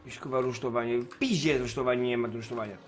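Guy streaming a game, mid pitch, mutters and swears a lot, music in the back. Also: very fast. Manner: muttering to himself, swearing freely. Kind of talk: casual gameplay commentary.

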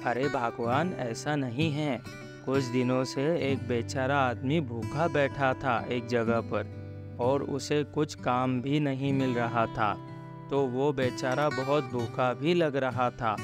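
Man acting a slow-spoken, kindly village farmer. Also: mid-range voice, steady tone, close to an everyday voice. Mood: explaining. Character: kind, humble village farmer, a married man. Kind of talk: animated moral story dialogue. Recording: background music under the voice.

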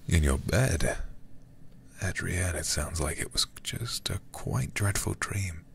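A man voicing a concerned, formal adult questioning a child in a measured, proper way. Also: sits low, animated, vocal fry, a bit bright, a little hiss or hum, voice pushed down, heavily acted.

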